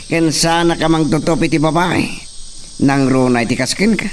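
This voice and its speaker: A man playing a firm, commanding young gentleman landowner in a radio drama, emphatic and melodramatic. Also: on the high side, takes it slowly, far from the mic, slight background noise. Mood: stern, mocking.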